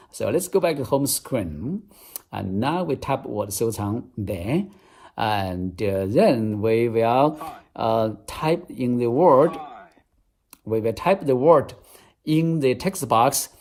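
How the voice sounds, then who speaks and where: A man speaking English with a Chinese accent walks learners through a tutorial one step at a time. He sounds earnest and methodical, often repeating a phrase as he explains. His voice sits at an ordinary, mid pitch. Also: animated, takes it slowly, a little mellow. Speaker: English teacher. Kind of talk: app demonstration tutorial.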